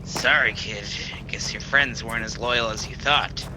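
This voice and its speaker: A man's voice: mid-range voice, a little slow, close to an everyday voice. A man playing a ruthless, threatening older street thug in a radio play. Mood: menacing. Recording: noisy home video-call recording.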